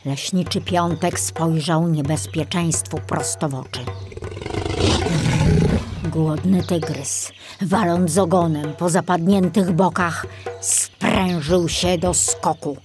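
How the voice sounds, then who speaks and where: A woman's voice: fairly low pitch, steady tone, slow, over music. A woman reading a children's audiobook as scripted narration.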